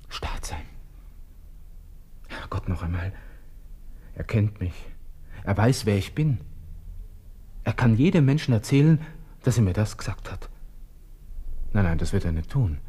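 An actor playing a proud, agitated Austrian army lieutenant, brooding to himself in a radio play, breaking into whispers and outbursts. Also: male voice, fairly low pitch, expressive, unhurried, long pauses, dark tone, slightly rough. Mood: anxious, furious. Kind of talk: interior monologue in a radio play.

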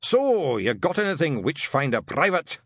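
A cantankerous old sergeant, as a reader puts him on, barks his orders and mutters dark conspiracies. His voice is husky and pushed loud. It rises and dips with bluster, recorded dry and close. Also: fairly high pitch, big pitch swings, normal speed, dry recording, pitched above the performer's own voice, heavily acted. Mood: conspiratorial. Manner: barking, conspiratorial, bigoted grumbling. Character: gruff, paranoid old Scottish witchfinder sergeant. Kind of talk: audiobook character voice.

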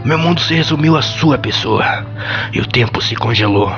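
Earnest, formal romantic suitor played by a man, gravelly, lo-fi radio melodrama with faint music. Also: mid-range voice, expressive, moderate pace, fairly bright tone. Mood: lovestruck. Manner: gushing, formal. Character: Ricardo Antônio, earnest old-fashioned smitten suitor. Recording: dull lo-fi audio under music.

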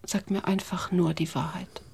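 An actress playing a suspect's anxious wife in a radio drama, breathy, asking terse, urgent questions. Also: female voice, lowish voice, steady tone, moderate pace, a little mellow, heavily acted. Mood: hushed, doubting.

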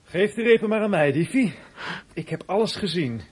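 A man playing a cocky older teenage gang leader in a radio play, husky and commanding. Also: sits high, lively intonation, normal speed, darkish tone.

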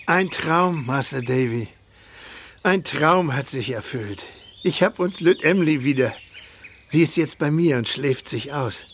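An actor playing a warm-hearted, humble older fisherman in a radio play, speaking emotional North German dialect. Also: a little high, animated, unhurried, slight background noise. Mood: joyful, moved. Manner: rustic dialect speech, emotional.

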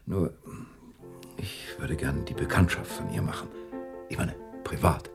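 An actor playing a courteous, probing, driven state prosecutor in a German radio drama, with formal, careful phrasing. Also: male voice, a bass voice, animated, a little slow, vocal fry, heavily acted. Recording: studio radio play with music behind.

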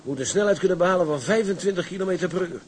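Actor as a higher-pitched, blunt seaman. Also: very fast, a little hiss or hum, lightly acted. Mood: chatty, curious. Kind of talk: Dutch period radio drama acting.

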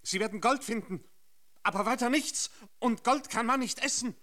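An actor playing an earnest, persuasive mission priest in a radio drama, speaking with insistent emphasis. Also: male voice, very high-pitched, normal speed, pushed and bright. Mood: urgent, persuasive.

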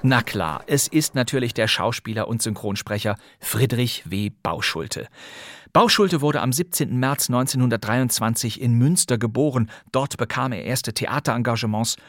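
A man's voice: medium pitch, moderate pace, no echo. Chatty male podcast host, dry studio. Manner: lively, chatty, speaks to the listener. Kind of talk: scripted podcast narration. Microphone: close studio microphone.

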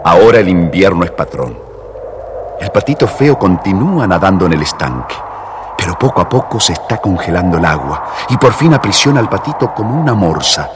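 Deep, expressive male storyteller. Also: brisk, background noise. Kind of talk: expressive scripted children's storybook narration.

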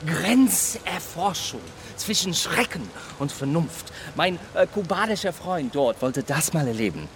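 A man's voice: on the high side, expressive, a little slow, few pauses. A man in a radio drama playing an eccentric, tipsy English aristocrat, theatrical and teasing. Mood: teasing, sly. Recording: faint street and vehicle noise.

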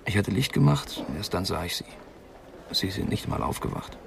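An actor playing a troubled young man giving a halting, confessional account in a German radio crime drama, with a creaky voice. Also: sits low, animated, exaggerated voice. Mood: subdued, confessional. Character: distressed young man, witness worried about his sick friend. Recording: faint background noise and ambience.

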